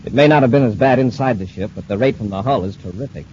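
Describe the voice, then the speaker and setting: An actor playing a brisk, authoritative space patrol commander with a crisp, decisive manner in an old-time radio serial. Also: male voice, medium pitch, a little mellow, natural voice. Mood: businesslike. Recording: muffled old radio recording, faint hiss.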